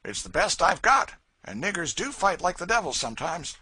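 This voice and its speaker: A man's voice: sits high, very animated, moderate pace, bright, forceful tone, slightly rough, no echo, voice pushed up, heavily acted. A man reading aloud, voicing a nervous, cowardly hired man who whines cautiously. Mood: whining.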